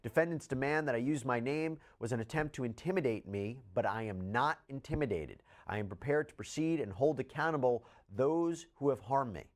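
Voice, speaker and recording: A man's voice: medium pitch, animated, fairly quick, few pauses. A man delivering scripted legal news commentary in an explanatory, emphatic way.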